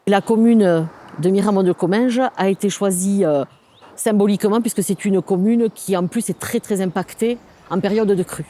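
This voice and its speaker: Mellow-voiced woman explaining a local project. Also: mid-range voice, normal speed. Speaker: local government sustainable development officer.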